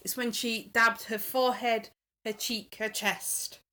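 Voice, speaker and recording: A woman's voice: a little high, little pitch movement, unhurried, bright, forceful tone. A woman in casual conversation as a podcast panellist, with an emphatic way of talking. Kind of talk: casual chat.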